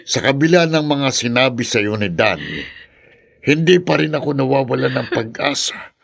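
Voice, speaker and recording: Comforting older man, gravelly, lively pitch. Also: moderate pace, exaggerated voice. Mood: reassuring. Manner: comforting, fumbling with fillers. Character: kindly older brother-figure Dado, reassuring. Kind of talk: radio drama acting.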